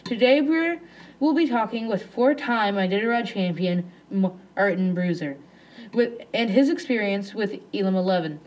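A woman's voice: mid-range voice, expressive, moderate pace, a little mellow, low-quality recording. Young woman hosting a podcast interview. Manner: reads questions with small stumbles. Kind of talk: scripted interview questions.

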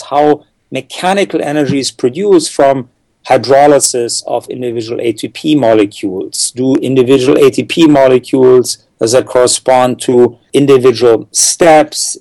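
A man's voice: medium pitch, mellow, no echo, poor audio. A middle-aged man from the Czech Republic, a scientist answering interview questions thoughtfully, with many fillers and repeated words. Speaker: neuroscientist guest.

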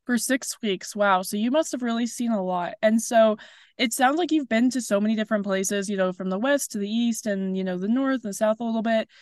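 A woman's voice: fairly high pitch, brisk, darkish tone, no room sound. Young woman host, dry close sound. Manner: friendly, encouraging, many "very nice" and "wow" replies. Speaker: podcast host. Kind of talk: interview hosting.

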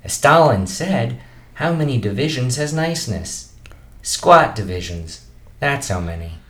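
An actor playing a burnt-out elderly male children's TV host in a comedy radio play, speaking softly and slowly. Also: medium pitch, animated, roomy sound. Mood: weary. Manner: soft, slow and gentle, turning bitter.

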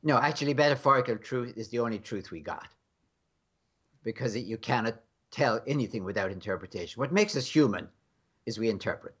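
A man's voice: medium pitch, a little fast, fairly bright tone, slightly rough. An American man talking as a guest in an interview, frank and emphatic with a wry edge. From United States. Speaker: guest scholar. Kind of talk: conversational interview.

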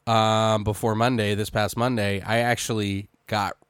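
A man's voice: fairly low pitch, measured pace, clear, resonant tone, no room sound, clean studio sound. Joking man podcast co-host. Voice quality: relaxed, joking, swears casually. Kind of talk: casual chat.

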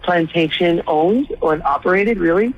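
A man's voice: high voice, measured pace, dull audio. A man from Hawaii, a rugby coach being interviewed, explaining things in an easygoing, rambling way. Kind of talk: casual interview talk.

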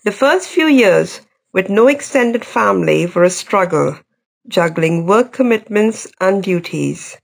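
A middle-aged woman psychiatrist from India with a Welsh Indian accent, reading her personal story in a measured, even way. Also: medium pitch, creaky, breathy edge.

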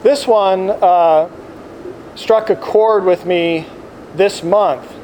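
A man giving an informal religious talk to a gathering as a priest, reflective and halting, speaking up to be heard. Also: high-pitched, darkish tone, lo-fi sound. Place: indoor room with air conditioning. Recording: clear background air conditioner noise. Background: air conditioner noise.

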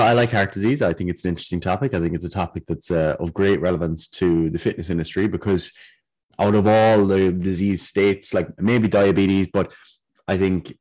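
A young man co-hosting a podcast, a trainee doctor explaining health topics in a measured, casual way. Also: low voice, darkish tone, husky. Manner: explanatory, measured, occasional fillers.

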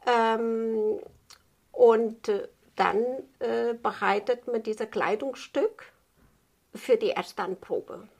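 A Portuguese woman in her fifties with a Portuguese accent, answering interview questions about her craft haltingly, searching for words in a language not native to her. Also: on the high side, steady tone, gravelly, darkish tone. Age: 50s. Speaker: tailor being interviewed.

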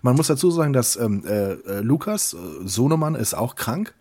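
Husky, chatty middle-aged man. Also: medium pitch, expressive, normal speed, a little mellow. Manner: rambling, chatty, many restarts. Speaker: podcast co-host. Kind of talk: casual phone chat.

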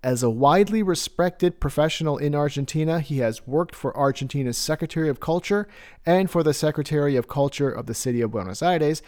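A man's voice: a little high, fairly quick, darkish tone. A man hosting a podcast, reading a scripted guest introduction and asking interview questions. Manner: scripted introduction, then brief backchannels. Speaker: podcast host.

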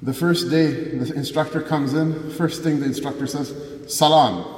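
A man's voice: on the high side, steady tone, moderate pace, darkish tone. A man delivering a Friday sermon who asks rhetorical questions and quotes scripture in Arabic. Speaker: preacher giving a Friday sermon. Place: mosque prayer hall. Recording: echoey hall, voice from far off.

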